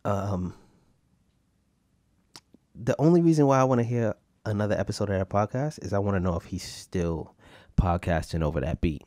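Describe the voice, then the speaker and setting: A man's voice: fairly low pitch, normal speed, mellow, no room sound, studio quality. An American man giving casual, comedic commentary in a blunt, mocking way, repeating himself as he riffs. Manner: mocking, blunt, repetitive riffing. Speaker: podcast host reviewing other podcasts.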